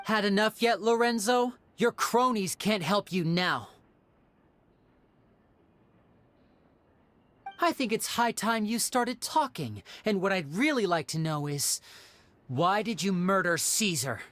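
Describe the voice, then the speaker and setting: A woman voicing a clever, calmly confident young magician detective in a video game, measured and deliberate. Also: mid-range voice, natural voice. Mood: pointed, confident. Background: faint background music.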